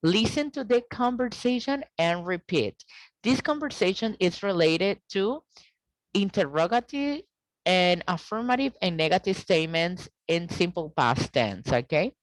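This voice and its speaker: Slow, low-voiced woman teaching English. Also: big pitch swings, no echo, poor audio. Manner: slow, deliberate explaining with frequent "okay?" checks. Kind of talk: online grammar lesson. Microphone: video call.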